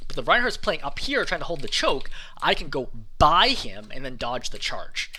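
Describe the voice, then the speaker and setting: A man coaching over gameplay footage, explaining his moves in a quick, lively way and sounding a little hoarse. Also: a little high, a little hiss or hum. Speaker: game coach. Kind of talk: gameplay commentary.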